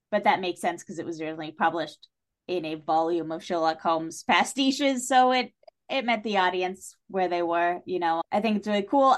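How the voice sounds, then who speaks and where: A woman chatting casually as a podcast guest, with an animated, jokey way of talking. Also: lowish voice. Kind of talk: casual chat.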